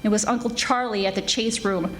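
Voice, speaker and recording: A woman's voice: medium pitch, steady tone, brisk, a bit bright, close to an everyday voice. A woman playing a tough, wisecracking 1940s private detective in a live radio-style drama. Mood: cool, hard-boiled. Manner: wisecracking, hard-boiled storytelling. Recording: live stage performance with background noise.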